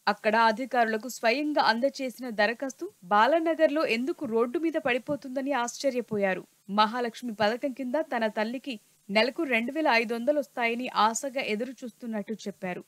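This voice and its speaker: A woman narrating a news report in a steady, formal reporting voice. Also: a little high, brisk, dry recording.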